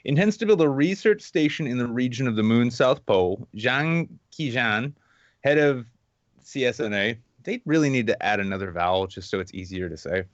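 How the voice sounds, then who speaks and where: Male podcast host, comedic and irreverent commentary, very animated intonation, close dry sound. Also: medium pitch, moderate pace, no echo, highs cut off. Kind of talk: casual, comedic news commentary.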